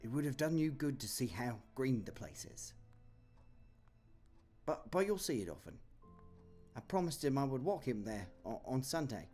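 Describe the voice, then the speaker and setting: A man voicing a humble, grieving clerk and father who talks softly and tenderly, with halting restarts, in an audio drama. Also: medium pitch, big pitch swings, faint music behind, voice pushed up.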